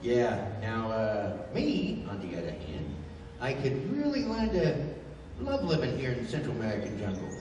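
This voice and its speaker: An actor playing a bumbling, hot-tempered brawny adventurer in 1930s radio style, talking in slang. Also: male voice, mid-range voice, sing-song, normal speed, lightly acted. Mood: bashful, wooing. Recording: echoey hall, distant mic, faint noise.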